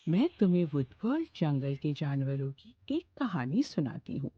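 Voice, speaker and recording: A woman voicing a kindly grandmother in a cartoon, explaining gently and patiently. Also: sits low, sing-song, normal speed, lo-fi sound. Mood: gentle. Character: kindly grandmother telling a story.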